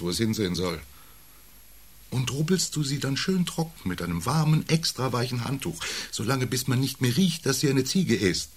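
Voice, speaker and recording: An actor playing a domineering, self-important husband around forty who needles and belittles in an intimate German radio play. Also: male voice, mid-range voice. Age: 40s. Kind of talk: intimate radio drama dialogue.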